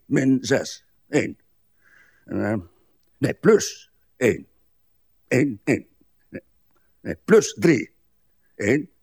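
An actor playing a grumpy, pedantic old husband, bitter and weary, in a radio play. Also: male voice, a little high, gravelly, darkish tone, husky, no room sound, clean studio sound, full character voice. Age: older. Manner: irritable, complaining, pedantic.

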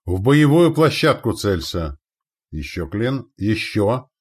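A man's voice: fairly low pitch, big pitch swings, takes it slowly, voice pushed up, full character voice. Man acting a roaring battle artillery commander. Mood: urgent shouting. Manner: shouting commands.

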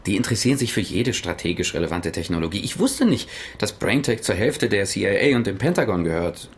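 A man's voice: fairly low pitch, animated, brisk. An actor playing an evasive man in a radio play, defending himself under questioning and stammering. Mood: defensive. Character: evasive male press writer under questioning.

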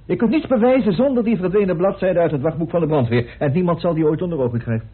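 An actor playing a defiant, scornful suspect in a radio murder mystery, challenging and dismissive. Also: male voice, high voice, fast, husky. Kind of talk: radio play acting in Dutch. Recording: muffled old radio drama recording.

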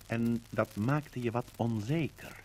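An actor playing an earnest chronicler of the wartime Netherlands, declaiming in a measured 1950s Dutch radio docudrama style. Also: male voice, medium pitch, a little mellow. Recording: noisy 1950 radio recording.

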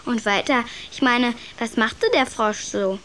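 A woman's voice: a little high, unhurried, fairly bright tone, a little hiss or hum. A woman playing a curious, self-assured young listener in a children's radio drama, inquisitive and matter-of-fact. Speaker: listener interrupting the storyteller.

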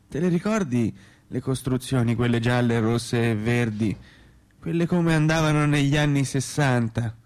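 A man's voice: mid-range voice, darkish tone, close to an everyday voice. An actor playing a dazed, nostalgic old hippie man in a radio play, rambling in broken phrases with a Tuscan Italian accent. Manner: slow, rambling, broken by sighs and chuckles. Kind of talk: theatrical radio drama monologue.

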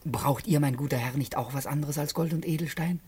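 An actor playing a cunning, flattering and mocking manservant in a German radio play, insinuating and theatrical. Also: male voice, on the high side, fairly quick, close to an everyday voice. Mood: scheming.

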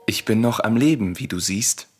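Actor as low-voiced, grave exiled Greek prince. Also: male voice, normal speed, close to an everyday voice. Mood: guarded, resolute. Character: secretive exiled prince of Greek tragedy, protective brother. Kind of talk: solemn radio drama acting of verse tragedy. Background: music.

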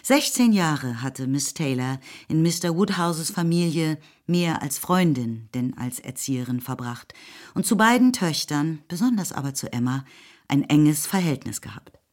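A woman narrator reading literary prose for a radio play in a measured, expressive storytelling manner. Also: low-pitched. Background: faint music.

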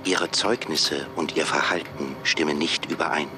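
An actor playing a cold, blunt AI job interviewer in a radio play, speaking formally and condescendingly. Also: male voice, extremely low pitch, steady tone, normal speed, music in the background. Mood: cool, condescending. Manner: formal, clipped, condescending questioning.

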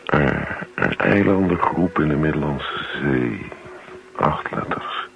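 A man acting a contented husband in a radio play, musing slowly as if talking to himself. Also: very deep voice, animated, gravelly, slight background noise, heavily acted. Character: contented husband, Henk, idly puzzling and muttering to himself. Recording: dull, lo-fi old radio recording.

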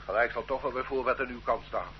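A man's voice: mid-range voice, fast talker, highs cut off, natural voice. An actor playing an ordinary man accused by an official, flustered and indignant, in an old radio play with faint hum. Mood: defensive. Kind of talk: radio drama acting.

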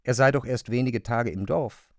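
A male narrator in a literary radio play. His voice sits at a middle pitch and is recorded dry and close, with scripted storytelling phrasing. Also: moderate pace, no room sound.

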